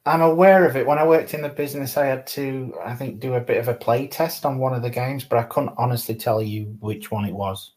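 A man's voice: mid-range voice, brisk, few pauses, darkish tone. A man chatting casually as a podcast panelist. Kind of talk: casual chat.

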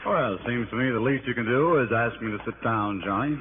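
An actor playing a dry, teasing police lieutenant with a familiar, needling manner in a 1960s radio drama. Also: male voice, mid-range voice, a little fast, natural voice. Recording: tinny, noisy 1960s radio recording.

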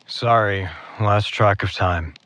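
A guarded young man as a male actor plays him in an audio drama, stubborn and practical. His voice is low and unhurried, with an understated, hesitant delivery. The recording is very dry. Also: fairly bright tone, no room sound. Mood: shaken, uneasy. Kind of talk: naturalistic audio drama acting.

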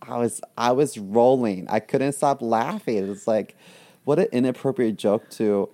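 A man's voice: mid-range voice, normal speed, mellow. Crude joking podcast co-host, man. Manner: crude joking, laughs often. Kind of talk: casual comedic chat.

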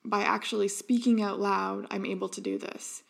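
A woman in her thirties hosting a podcast, telling a reflective personal story in an earnest, halting way. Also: mid-range voice, fairly even intonation, creaky. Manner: earnest, with frequent ums and restarts. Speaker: podcast host.

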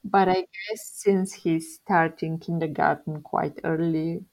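Brief: young Bulgarian-accented woman, lowish voice, slow relaxed delivery. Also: rough recording. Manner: unhurried, laughs often while telling. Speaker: interview guest. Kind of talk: casual interview.

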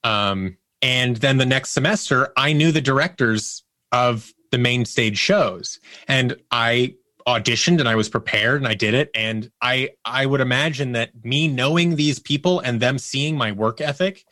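A man's voice: medium pitch, measured pace, pushed and bright, no echo. Man telling anecdotes in a casual interview. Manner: acts out remembered dialogue in anecdotes. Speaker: podcast guest, audio producer and actor.

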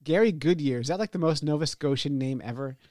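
A man's voice: on the high side, quick pace, darkish tone, no room sound, studio quality. A man hosting a podcast, chatting casually and laughing often at his own jokes. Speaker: podcast host. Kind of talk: casual chat.

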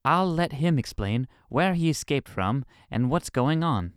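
A man's voice: medium pitch, expressive, a little slow, clear, resonant tone, dry recording, studio quality, lightly acted. A man playing a formal, patient military officer in a comedy audio drama. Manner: formal, patient, slightly flustered. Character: polite, fussy male military officer.

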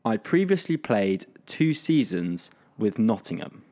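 A man hosts a relaxed podcast quiz, reading clues aloud. His voice sits a little low, and he stumbles through fillers and breaks into laughter easily. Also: a little slow. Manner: laughs often, hesitant fillers. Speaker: podcast host running a quiz. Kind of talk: casual podcast chat.

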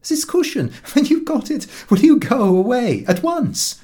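A man's voice: very high-pitched, big pitch swings, measured pace, warm tone, pitched above the performer's own voice, heavily acted. A man voicing a saucy young shop girl in an audiobook, pert and questioning. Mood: teasing, insistent. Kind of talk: audiobook character dialogue.

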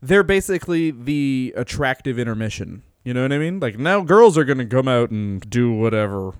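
A man's voice: medium pitch, normal speed, clear, resonant tone, dry recording, clean studio sound. A man co-hosting a wrestling podcast, telling stories in a loose, joking way and laughing often. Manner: laughs often, animated storytelling. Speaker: podcast co-host. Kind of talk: casual podcast chat.

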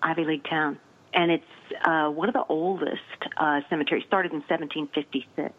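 A woman's voice: low-pitched, steady tone, fairly quick. A woman from Nashville with a Southern accent, a cemetery tour guide chatting casually and laughing often. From Nashville, Tennessee. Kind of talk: casual chat. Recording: tinny phone line.

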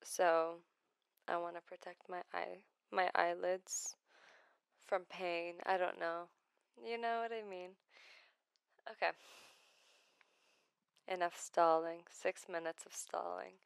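A woman in her twenties from Iraq talks about her life in a personal vlog, gentle and hesitant, with many pauses and restarts. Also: on the low side, fairly even intonation, creaky, smooth, no echo. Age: 20s. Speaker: vlogger.

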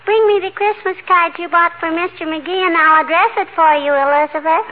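A woman's voice: very high-pitched, fairly quick. A woman playing a chatty, absent-minded little girl in 1940s radio comedy, with a childish singsong delivery. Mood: chatty, innocent. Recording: noisy, tinny 1940s radio recording.

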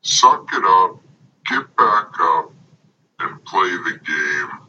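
A deep-voiced man serves as a podcast announcer and sidekick. He talks slowly, with a very forceful, sharp edge and an old-school grumbling manner. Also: expressive, lo-fi sound. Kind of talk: announcing and casual banter.

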